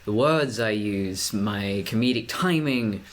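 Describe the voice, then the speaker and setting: A young adult man with a deep voice, talking to camera in a personal vlog, pacing his words deliberately with frequent restarts. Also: slight background noise. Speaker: vlogger.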